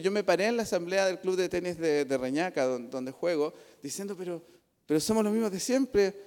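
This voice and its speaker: A man's voice: high voice, warm tone. A middle-aged man with a Chilean accent preaching a sermon from the pulpit, earnest and conversational, with asides to the congregation. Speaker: pastor. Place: church. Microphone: pulpit microphone. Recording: long hall reverb in church.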